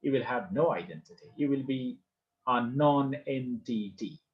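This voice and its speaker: A man with a Bangladeshi English accent lectures a class in a measured, emphatic way, stressing and repeating his key points. His pitch sits at a middling level. The online-class audio sounds slightly dull and muffled. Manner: emphatic, repeats key phrases, asks "Understand?".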